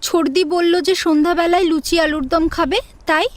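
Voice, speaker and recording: A woman's voice: extremely high pitch, fairly even intonation, moderate pace, no echo. A woman playing a meek, neglected schoolgirl in an audio story, answering plainly and submissively. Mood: dutiful. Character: meek, neglected schoolgirl daughter doing household chores. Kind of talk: Bengali audio story acting.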